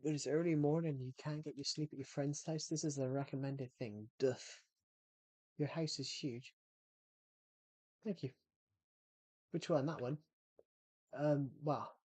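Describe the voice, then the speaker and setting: A man's voice: mid-range voice, a little mellow, slightly rough, dry recording. A man chatting casually on a game livestream, rambling and often repeating and restarting his phrases. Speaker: gaming streamer. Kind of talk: casual livestream chat while playing.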